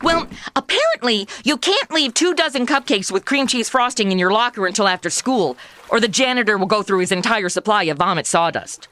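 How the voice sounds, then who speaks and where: A woman's voice: medium pitch, expressive, brisk, fairly bright tone, slight background noise, natural voice. An actress playing a self-absorbed modern young woman in a comedy audio drama, sarcastic and petulant. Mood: flippant. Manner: sarcastic, petulant, valley-girl phrasing.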